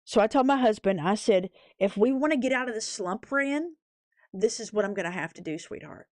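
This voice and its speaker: A woman's voice: mid-range voice, animated, fairly quick, a little mellow, no room sound, studio quality. A woman hosting a livestream, chatting casually and rambling to viewers, emphatic and often checking in with short tag questions. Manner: emphatic, often tags points with "okay?" and "right?". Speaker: YouTube livestream host.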